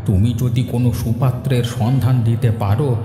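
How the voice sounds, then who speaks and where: A man voicing an anxious, trusting elderly village father in a cartoon story, speaking in a slow, weary, plaintive way. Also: medium pitch, steady tone, close to an everyday voice. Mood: worried. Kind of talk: Bengali cartoon story acting. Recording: echoey hall reverb, background music.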